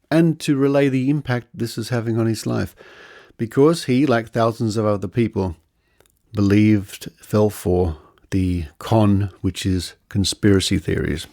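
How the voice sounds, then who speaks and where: A man's voice: on the low side, creaky, warm tone. A man hosting a podcast, commenting on news and reading quotes with conversational asides. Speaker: podcast host.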